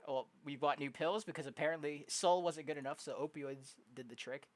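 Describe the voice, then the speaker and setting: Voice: medium pitch, moderate pace. A game streamer chatting casually in a slangy, joking, reactive way and reading narration aloud. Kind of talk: casual let's play chat with read-aloud narration. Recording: game music behind the voice. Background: game music.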